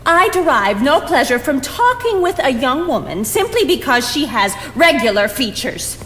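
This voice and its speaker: Prim young visitor: very lively high voice, haughty and formal, stage drama. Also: female voice, normal speed. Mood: indignant. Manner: haughty, formal, crisply disapproving. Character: prim, judgmental, outspoken young woman visitor. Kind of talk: stage play acting. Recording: echoey theatre stage with background noise.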